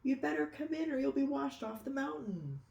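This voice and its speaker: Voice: high-pitched, sing-song, moderate pace, vocal fry, darkish tone, roomy sound, lo-fi sound, slight background noise, pitched above the performer's own voice, heavily acted. A reader voicing a kindly woman baker in a storybook read-aloud. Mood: kindly, encouraging. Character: kindly woman baker inviting a small dragon in.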